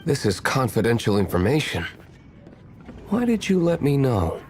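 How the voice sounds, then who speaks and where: A man playing an aloof, cynical young tavern owner in a video game, speaking in a cool, dry, measured way. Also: mid-range voice, big pitch swings, a little mellow, close to an everyday voice. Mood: composed. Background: background music.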